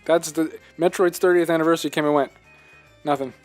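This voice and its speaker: A man with a slightly high voice co-hosts a casual gaming podcast. He rambles along in an animated, emphatic way, circling back on his phrases. Music plays behind him. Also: brisk. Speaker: podcast co-host. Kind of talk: casual video game discussion.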